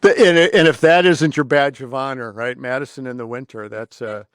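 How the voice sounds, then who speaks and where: A man's voice: medium pitch, normal speed, fairly bright tone, smooth, no echo, studio quality. A man hosting a podcast, talking in a casual, conversational way. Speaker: podcast host. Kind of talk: casual interview chat with some scripted appeals.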